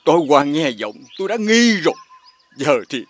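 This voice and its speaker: A man's voice: a little high, sing-song, moderate pace, a bit bright. A man voicing a gullible, grumpy blind fortune-teller in a fairy-tale cartoon, querulous and easily puzzled. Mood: indignant. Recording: music behind the voice.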